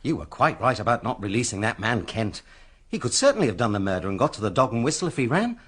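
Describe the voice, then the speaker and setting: An actor in a radio drama playing a brisk, exasperated English police inspector with an emphatic, businesslike manner. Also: male voice, medium pitch, sing-song, a bit bright, slightly rough. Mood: exasperated, urgent.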